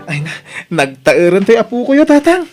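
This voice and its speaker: A fairly high male voice as a dutiful young working son played by a man, eager to help his parents. It is family audio drama with an Ilocano accent. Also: sing-song, normal speed, music in the background. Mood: cheerful.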